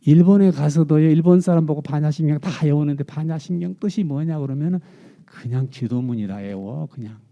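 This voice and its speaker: Dark-voiced monk preaching, rhetorical questions. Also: male voice, a little high, a little fast, creaky, highs cut off. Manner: lively explaining, rhetorical questions, repeats key words. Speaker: Buddhist monk giving a dharma talk. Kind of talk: sermon. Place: temple dharma hall.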